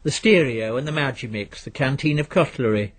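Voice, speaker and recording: A man reading literary fiction as a narrator, in a measured, precise and dry storytelling manner. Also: medium pitch, breathy edge. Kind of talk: scripted literary audiobook narration.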